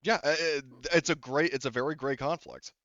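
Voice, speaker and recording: A man with a smooth, clean voice narrates a tabletop game as its game master. He rambles casually and swears jokingly, on a dry, slightly muffled recording. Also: on the high side, fairly quick, fairly bright tone, no echo. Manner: rambling, profane, jokey.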